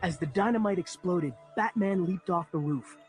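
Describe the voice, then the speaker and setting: An actor playing a young sidekick who narrates a superhero audio adventure in a melodramatic, scripted style. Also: male voice, sits high, normal speed, faint music behind, close to an everyday voice. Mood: excited, dramatic.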